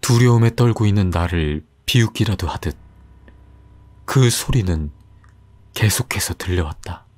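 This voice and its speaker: A man reading a horror tale in a deliberate, unhurried way, his lowish voice rising and falling with lively intonation. Faint music plays softly behind him. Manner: slow, suspenseful. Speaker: storyteller. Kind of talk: scripted horror narration.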